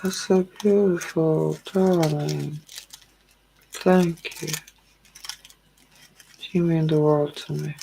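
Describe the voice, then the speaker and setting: A tired woman hosting a tarot livestream, chatting casually with her viewers in a slow, drowsy, calming way. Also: deep voice, creaky, slight background noise, poor audio.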